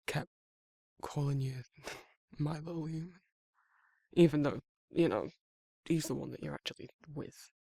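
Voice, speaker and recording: Man voicing a socially awkward male werewolf, heavy creak, husky, slightly high for a man, hesitant, shyly fond, intimate roleplay audio. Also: fairly even intonation, mellow, no echo, heavily acted. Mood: flustered, tender. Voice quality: husky, gravelly. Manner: halting, stammering, hesitant. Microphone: close microphone, dry sound.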